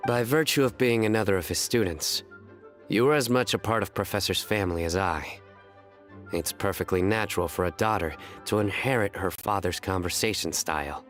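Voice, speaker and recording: An actor voicing a solemn, stiff young male scholar and enforcer in a video game, speaking in a deadpan, formal manner. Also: on the low side, normal speed, faint music behind, natural voice. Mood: sincere, measured.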